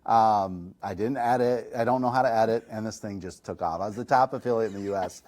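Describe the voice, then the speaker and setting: A middle-aged man, a chiropractor, answering interview questions in a relaxed, self-deprecating, chatty way. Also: on the low side, fairly quick. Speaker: chiropractor and massage chair reviewer. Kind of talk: casual podcast interview answers.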